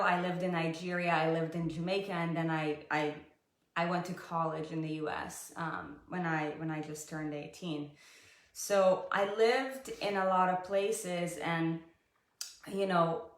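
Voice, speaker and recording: Chatty Israeli-born woman, echoey room. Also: fairly low pitch. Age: 30s. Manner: chatty, frequent "like" and "you know". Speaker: podcast co-host, interviewee. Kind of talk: casual interview.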